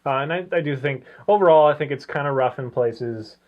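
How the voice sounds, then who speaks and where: A man's voice: fairly high pitch, a little fast, muffled recording. A man co-hosting a review show in casual, conversational chat.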